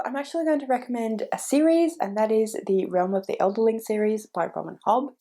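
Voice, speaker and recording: A woman talks casually about books she's recommending, her voice stuffy and slightly croaky from a cold. Her tone is mellow and warm rather than bright. She rambles a bit, doubling back and correcting herself mid-sentence. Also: on the high side. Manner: chatty and meandering, with restarts and self-corrections. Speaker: book vlogger. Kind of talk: casual book recommendations to camera.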